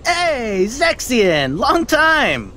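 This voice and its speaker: An actor playing a lazy, cowardly young man in a video game, with a whiny drawl that jumps into sudden excitement. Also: extremely high pitch, drawn-out words, a little hiss or hum, heavily acted. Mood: chummy.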